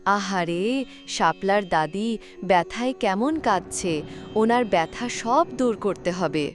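A woman's voice: medium pitch, slow, soft background music, lightly acted. A woman voicing a kind, grateful magical fairy in a cartoon. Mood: pitying.

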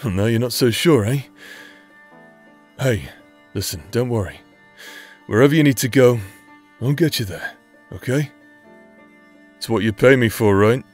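A man's voice: mid-range voice, measured pace, pauses often, lightly acted. A man playing a brash, teasing knight captain, a loyal younger brother, in an anime-style romance audio drama, talking in an informal, playfully sarcastic way. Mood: frank, reassuring. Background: background music.

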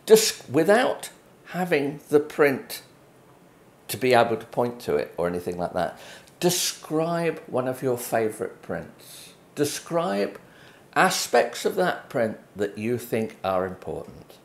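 A man's voice: medium pitch, very animated, takes it slowly, gravelly, reverberant. A middle-aged man from England with an English accent, explaining photo printing casually to camera from his home office. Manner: conversational, gestures at prints while explaining. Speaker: photography educator. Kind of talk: unscripted explanatory talk to camera. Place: home office with bookshelves, indoors.

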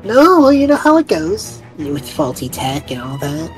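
A man's voice: a little high, wildly swooping pitch, moderate pace, soft background music, poor audio. A man voicing a sly, polite wizard in a tabletop game, breezy and falsely innocent. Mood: breezy, evasive. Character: nimble male wizard, slyly polite, feigning innocence.

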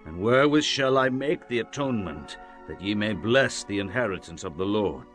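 A man's voice: on the low side, expressive, moderate pace, a bit bright, faint music behind. An actor playing a grave, conciliatory king in a dramatized scripture reading. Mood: earnest appeal.

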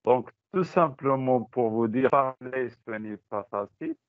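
A Moroccan man whose first language is Tachelhit, giving a halting teaching talk on a video call, repeating words and slipping into Moroccan Arabic. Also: mid-range voice, dry recording. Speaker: teacher trainer. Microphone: computer mic on a video call. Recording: dry, phone-like call audio.

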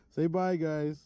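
A man talking casually as he films himself fishing by a lake, relaxed and joking. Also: fairly high pitch, no room sound. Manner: relaxed, joking, laughs often. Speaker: fishing vlogger. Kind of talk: casual vlog chat. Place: outdoors by a lake. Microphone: head-mounted action camera. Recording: outdoor noise on camera mic.

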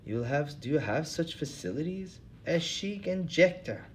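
A man's voice: expressive, unhurried, a little hiss or hum. A man voicing a weary, self-pitying male drifter with genteel airs. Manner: listless, self-pitying, raised pitch.

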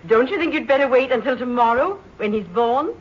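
An actress playing a kindly, cheerful night attendant in a 1940s radio drama, with a gentle, indulgent, lilting manner. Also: female voice, high-pitched, lively intonation, a little fast, breathy edge. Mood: gentle, amused. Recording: noisy, dull 1940s radio recording.

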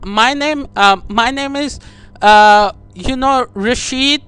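Guy doing a prank call, super high voice, slow and halting, kinda coy and gushy. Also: bright, forceful tone, clear, resonant tone. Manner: halting, coy, playfully over-affectionate. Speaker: prank caller. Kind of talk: comedic prank phone call.

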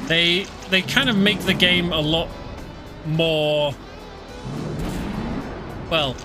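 Man, British accent, casual game commentary, fillers, higher voice, music behind. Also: extremely slow. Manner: chatty, explaining as he plays, many fillers. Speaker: game streamer.

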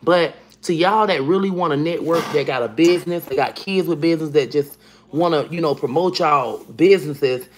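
A woman's voice: moderate pace, slight background noise. A woman, chatting bluntly and profanely with viewers on a phone livestream from her kitchen. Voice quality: deep voice for a woman. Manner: blunt, profane, animated, talks to viewers by name. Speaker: livestream host. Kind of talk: casual livestream chat reading comments. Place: kitchen at home, indoors. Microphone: phone camera at arm's length.

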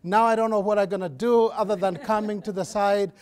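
High-voiced Kenyan man lecturing. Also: brisk, smooth. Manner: animated, restless, many fillers and restarts. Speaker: guest lecturer. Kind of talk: public lecture. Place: lecture hall with audience.

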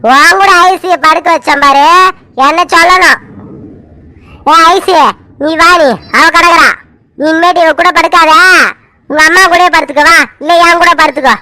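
A woman's voice: very high voice, steady tone, normal speed, long pauses, sharp tone, dry recording. A woman voicing a bossy, protective old grandmother in a family story, nagging and giving orders. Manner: nagging, commanding. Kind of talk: dramatised family story dialogue.

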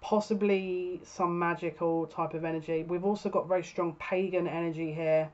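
A woman's voice: lowish voice, fairly even intonation, normal speed, darkish tone. A woman in her thirties giving a casual, spoken tarot reading to camera as a psychic reader. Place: small furnished room at home, indoors. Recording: dull lo-fi webcam sound.

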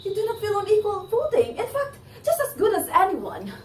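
A woman's voice: extremely high pitch, wildly swooping pitch, brisk, a little hiss or hum, heavily acted. A young woman acting in a stage play as a shrewd, probing, taunting and self-assured figure. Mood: challenging. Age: young adult. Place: indoor theatre set, far camera. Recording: echoey distant stage recording with music.

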